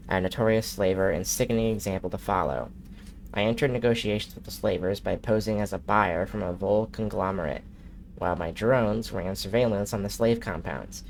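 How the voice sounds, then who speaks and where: A man streaming a video game who reads in-game messages aloud in a steady, unhurried way, with a nasal voice and occasional slips. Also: on the low side, vocal fry, a little hiss or hum, rough recording. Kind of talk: reading in-game messages aloud during a live gameplay stream.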